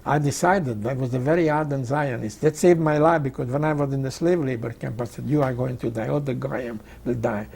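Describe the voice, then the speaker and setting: An elderly man, a native Hungarian speaker with a Hungarian accent, telling his life story in an emphatic, halting way, often repeating phrases. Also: mid-range voice, gravelly, a little mellow, breathy edge. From Czechoslovakia, Hungarian-speaking. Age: 80s or older. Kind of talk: oral history interview, personal storytelling.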